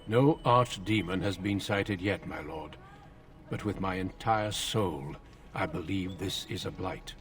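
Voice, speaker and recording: A solemn warrior recruiter from a fantasy game. The voice is low, courteous and formal, with measured phrasing, heard a little away from the mic. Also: male voice, distant mic. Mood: grave.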